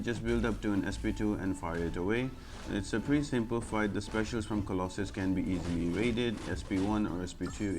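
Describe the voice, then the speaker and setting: A man giving casual, instructional commentary as he walks viewers through a video game. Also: low-pitched. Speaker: game guide video creator. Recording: voice-over with music behind. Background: clear music.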